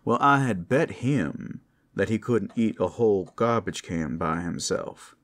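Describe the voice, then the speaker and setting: A man playing a tender, protective werewolf lover in an intimate ASMR roleplay, speaking in halting, heartfelt phrases full of endearments. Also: fairly low pitch, expressive. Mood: playful. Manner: soft endearments, halting heartfelt delivery, teasing asides. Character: tender, devoted, protective male werewolf lover working at a brothel.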